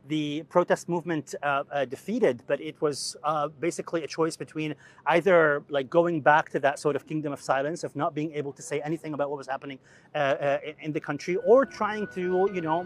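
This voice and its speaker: Middle-aged man from Syria, slightly high voice, halting, full of fillers. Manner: hesitant, many fillers and restarts. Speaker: executive editor of a media outlet. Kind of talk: interview to camera.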